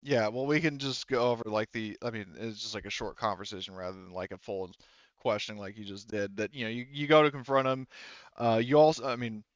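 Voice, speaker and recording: Guy game mastering, smooth clear voice, rambles casually, dry slightly dull mic. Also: on the low side, fairly even intonation, brisk, no room sound. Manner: rambling, loose phrasing heavy with "like". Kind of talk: casual tabletop game narration.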